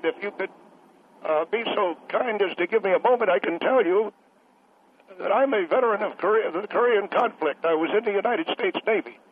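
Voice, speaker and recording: A man voicing an elderly, polite male Navy veteran who calls in, speaking haltingly and courteously. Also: sits high, pitched above the performer's own voice. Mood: halting, earnest. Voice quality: hesitant, courteous, trailing off. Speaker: caller. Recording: tinny phone line.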